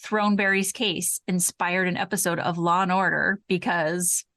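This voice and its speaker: Lady with a lowish voice chatting on a true crime podcast, no room echo at all. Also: fairly even intonation, moderate pace, a bit bright, studio quality. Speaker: podcast co-host.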